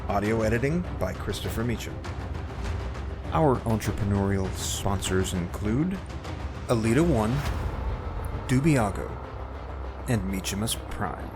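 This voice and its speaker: A man's voice: lowish voice, animated, unhurried, long pauses, music in the background. A man narrating an audio drama serial as a theatrical, scripted announcer. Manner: melodramatic announcer delivery.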